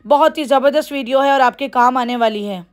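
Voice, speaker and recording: A bright, high-voiced woman with a Karachi Urdu accent hosts a shopping vlog. She talks with gushing enthusiasm, repeating prices and praise as she moves through a busy clothing shop. She records on a phone held close. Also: fairly quick. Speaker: shopping vlogger. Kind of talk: upbeat shop-tour vlog.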